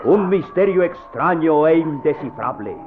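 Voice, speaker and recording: A man announcing the opening of a vintage radio serial, in an emphatic, drawn-out way. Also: on the high side, animated, noisy background. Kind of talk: dramatic radio serial introduction. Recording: tinny old radio broadcast.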